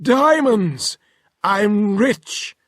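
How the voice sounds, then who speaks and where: A man's voice: sits high, very animated, drawn-out words, fairly bright tone, no room sound, studio quality, voice pushed up, exaggerated voice. A man doing a muddled old professor. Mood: excited. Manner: fussy and exclaiming. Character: forgetful, mishearing old male professor inventor. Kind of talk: storybook read-aloud.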